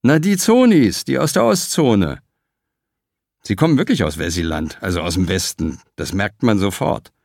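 A man's voice: fairly low pitch, animated, normal speed, gravelly, darkish tone, no echo, studio quality. A man voicing a gaunt, grumpy male taxi driver with a Berlin accent and a whiny singsong way of talking. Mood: chatty.

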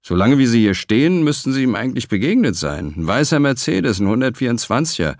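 A man voicing a gruff, sarcastic male porter with a Berlin accent, brusque and mocking. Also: medium pitch, very animated, quick pace, dry recording, clean studio sound, pitched above the performer's own voice.

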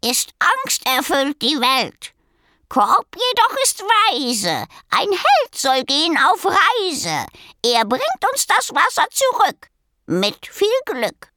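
A woman doing a croaking old raven. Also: sits high, huge pitch swings, sharp tone, no echo, clean studio sound, pitched above the performer's own voice, heavily acted. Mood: cryptic rhyming. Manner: croaking, singsong rhymes, slow and solemn. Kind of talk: storybook character voice.

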